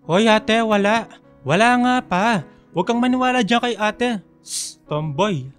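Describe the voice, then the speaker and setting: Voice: medium pitch, expressive, a little slow, fairly bright tone, smooth. A performer with a Filipino accent playing a playful, cocky schoolboy who teases and whines in a radio drama. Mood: teasing, whiny. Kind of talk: radio drama acting, casual banter. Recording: dry voice with music bed.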